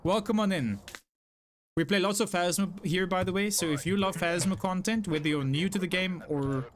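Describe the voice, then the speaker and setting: A fairly high-pitched young man from South Africa speaks with an Afrikaans English accent, chatting easily with his stream viewers. He repeats short phrases and leans on "like" as a filler. A faint hiss sits behind his voice. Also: moderate pace. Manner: chatty, apologetic, frequent self-repeats and "like" fillers. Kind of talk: casual live-stream chat reading chat messages.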